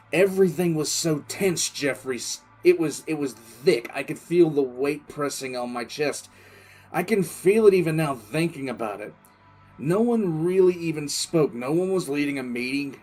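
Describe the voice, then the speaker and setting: A man playing a war-weary military commissar, recounting a crisis in halting, emphatic audio drama narration. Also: mid-range voice, darkish tone, close to an everyday voice. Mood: tense, emphatic. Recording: music under the voice.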